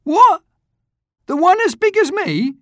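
A reader doing a wide-eyed young street boy, very high. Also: wildly swooping pitch, slow, gravelly, a bit bright, no echo, clean studio sound, heavily acted. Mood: astonished. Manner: startled, exclaiming.

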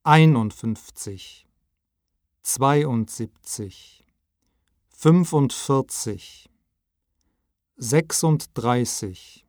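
A man reading textbook dialogue and number drills with clear, deliberate enunciation. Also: medium pitch, darkish tone, no room sound, studio quality.

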